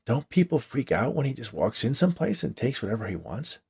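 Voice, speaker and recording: A man's voice: mid-range voice, big pitch swings, a little fast, creaky, slightly rough, full character voice. A man voicing a curious ex-prison guard, casual and questioning, in a story reading. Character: curious ex-prison guard, prospective new hire.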